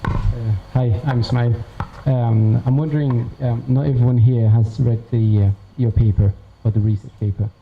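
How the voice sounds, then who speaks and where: A man's voice: mid-range voice, steady tone, moderate pace, vocal fry. An audience member, a man, asking a question in a polite, hesitant way. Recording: tinny phone-like sound, faint noise.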